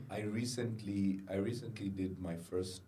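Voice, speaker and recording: A man's voice: on the low side, steady tone, takes it slowly, mellow. A young Kenyan man co-hosting a comedy podcast in casual banter, talking himself up in jest and repeating phrases for effect.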